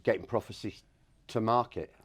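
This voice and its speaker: A man with a somewhat low voice acts as interviewer. He puts his questions casually and conversationally, in the manner of an informal product chat. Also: moderate pace.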